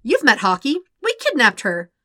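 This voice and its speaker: Wry teenage boy, acted by a woman, exasperated, insistent, bright edge, dry storybook recording. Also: fairly high pitch, wildly swooping pitch, moderate pace, no echo, voice pushed up, heavily acted. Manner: sarcastic, insistent, explanatory.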